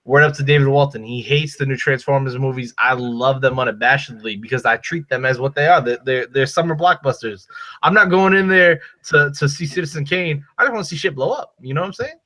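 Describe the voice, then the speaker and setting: A man with a Boston accent hosting a podcast, giving loud, profane, emphatic opinions in casual chat. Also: mid-range voice, fairly quick. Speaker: podcast host. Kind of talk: casual chat about movies and toys.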